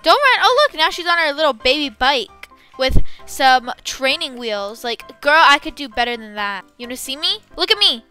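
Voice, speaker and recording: A woman's voice: sits high, very animated, normal speed, pushed and bright, soft background music. A young woman chatting through a playful game roleplay in a blunt, demanding, bratty manner. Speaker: gaming video co-host and player.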